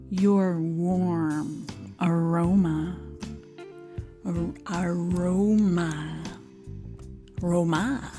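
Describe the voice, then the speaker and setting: Very slow, sultry, mellow craving woman. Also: lowish voice. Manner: drawn-out, lingering, repeats words. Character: lovesick woman swooning over sticky cinnamon buns. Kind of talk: sultry parody advertisement monologue.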